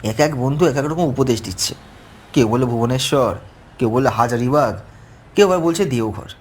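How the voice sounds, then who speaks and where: A man's voice: medium pitch, normal speed. A man narrating a first-person horror story from a script. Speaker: narrator of an animated ghost story.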